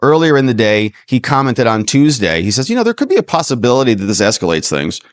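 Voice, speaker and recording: American male news commentator, very lively pitch swings, dry close sound, casual sarcastic asides, incredulous manner. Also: fast, no room sound. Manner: acts out imagined dialogue, mocking and incredulous. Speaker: host commentator of a livestream news show.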